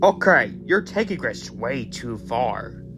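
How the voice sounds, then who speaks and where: A man's voice: medium pitch, big pitch swings, slow pace, music in the background. A man acting out an amateur audio drama in an everyday, conversational, improvised-sounding voice.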